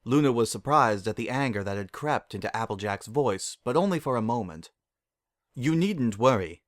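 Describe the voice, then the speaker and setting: A man's voice: on the low side, lively intonation, fairly quick, dry recording, studio quality. A man narrating a story as a dramatic reading.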